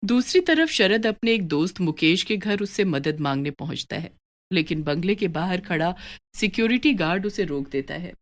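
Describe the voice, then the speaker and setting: A woman narrates a children's moral story in a lively, melodic way, her voice on the lower side. She tells it steadily and expressively, scripted, like a bedtime tale. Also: moderate pace.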